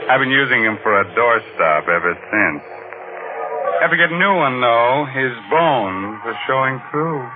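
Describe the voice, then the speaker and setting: An actor playing a ghoulish, jokey horror show host with a theatrical, mock-friendly manner in 1940s radio style. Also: male voice, medium pitch, big pitch swings, measured pace. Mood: macabre glee. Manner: mock-friendly, theatrical, chuckling gallows humor. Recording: muffled narrow-band 1940s radio, music behind.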